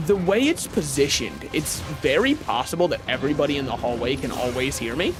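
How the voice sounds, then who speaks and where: Lively rambling young male streamer. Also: a little fast. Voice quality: booming voice. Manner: rambling, self-mocking, reading game menus aloud. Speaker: vtuber streamer. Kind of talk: casual live-stream chat while gaming. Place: apartment streaming room. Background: faint game music.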